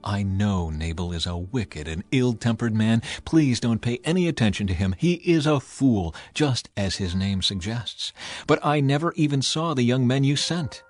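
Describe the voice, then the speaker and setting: A man voicing Abigail, a humble woman earnestly pleading, in a Bible reading with soft music behind. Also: mid-range voice, lively intonation, normal speed, no room sound, close to an everyday voice.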